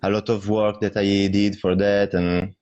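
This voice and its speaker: Young italian guy from the south, chill and modest, lowish voice, muffled call audio. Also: moderate pace. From Calabria, southern Italy. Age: young adult. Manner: modest, relaxed, leans on "I mean" and "yeah". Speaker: guest, bassoonist. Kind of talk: interview answers. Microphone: video call. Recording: dull lo-fi video call audio.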